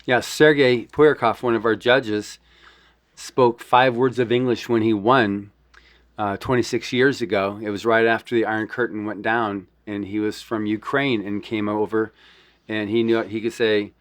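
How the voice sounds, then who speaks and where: Podcast host guy, relaxed questions, dry close mic. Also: lowish voice, normal speed, no echo. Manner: easygoing questions with fillers. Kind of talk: casual interview.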